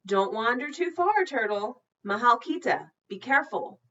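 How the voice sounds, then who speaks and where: A woman's voice: a little high, big pitch swings, normal speed, a bit bright, distant mic, muffled recording, pitched above the performer's own voice. Woman reading as a breezy, affectionate mother. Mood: breezy, fond.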